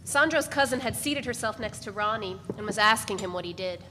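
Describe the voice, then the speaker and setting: Female narrator for a live literary reading, medium pitch, long room reverb, light background noise. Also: expressive, a little fast. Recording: noisy, reverberant hall recording.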